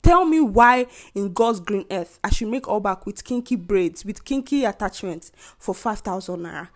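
A young woman in her twenties with a Nigerian accent, hosting a solo podcast and venting in a casual, animated way about rude remarks and being overcharged. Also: mid-range voice, moderate pace. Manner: animated, indignant storytelling with mocking quoted voices. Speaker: podcast host.

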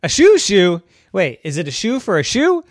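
A storyteller voicing an excitable, boastful young male pig with a high, whiny, squealing voice. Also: normal speed, no echo, clean studio sound. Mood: curious.

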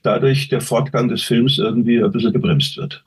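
A man's voice: medium pitch, fairly even intonation, a little fast, breathy edge. A man chatting casually as a podcast guest, giving frank opinions and qualifying them as he goes. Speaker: interview guest, voice actor.

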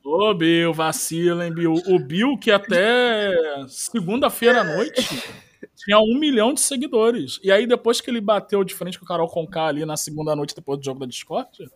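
A man hosting a casual chat podcast, talking in an animated, joking, gossipy way. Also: high voice, moderate pace. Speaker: podcast host.

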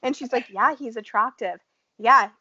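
A woman's voice: a little high, moderate pace, lo-fi sound, a crowd in the background. A woman from the United States in casual, blunt and profane chat, laughing often. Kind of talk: casual chat about a reality show.

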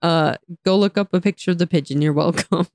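A young woman with a somewhat low voice chats and giggles her way through a casual conversation. Her voice is a touch raspy from a long day of talking. The recording is dry and close. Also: brisk, darkish tone, dry recording. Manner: giggly, chatty, laughs often. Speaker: podcast co-host. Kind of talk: casual chat.

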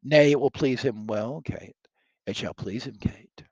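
A man's voice: medium pitch, measured pace, a little mellow, husky, no room sound. A man reading the part of a bold young English king wooing a princess, declaiming the old verse earnestly. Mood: ardent.

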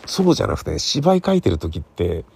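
A middle-aged man with a low, creaky voice and a husky edge chats alone as a podcast host. He rambles conversationally, softly checking in with the listener as he goes. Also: huge pitch swings, quick pace. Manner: rambling, conversational, seeking agreement with soft sentence endings. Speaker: podcast host and radio drama writer. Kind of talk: casual solo podcast monologue. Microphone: smartphone recording.

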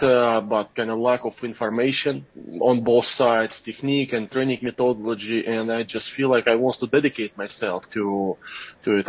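A man with a Russian accent speaks in a fairly low voice as an interview guest. He is hesitant, often pausing to find the next word. The sound is slightly dull and lo-fi. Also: husky. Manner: halting, hesitant, searching for words. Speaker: guest, kettlebell athlete and coach. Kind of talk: interview answers, casual talk.

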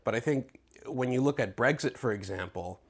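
A man's voice: medium pitch, moderate pace, breathy edge. A man from Greece interviewing a guest, chatty and frank, thinking aloud before posing questions. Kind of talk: conversational interview.

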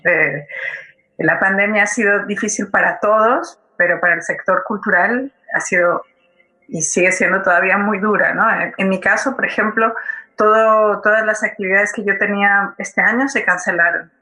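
Bright-voiced woman answering an interview. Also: mid-range voice, steady tone, moderate pace, low-quality recording. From Colombia. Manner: informal, explanatory, often ends with checking tags. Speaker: interview guest, playwright.

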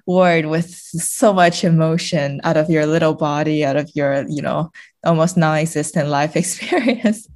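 Female podcast guest, native Mandarin speaker, lower register, informal and self-deprecating book talk. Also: moderate pace, darkish tone. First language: Mandarin Chinese. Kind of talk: casual book discussion.

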